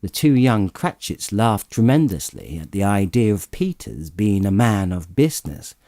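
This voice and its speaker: A man narrating a story as an audiobook reader, in a measured, expressive storytelling manner. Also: fairly low pitch, no room sound, clean studio sound.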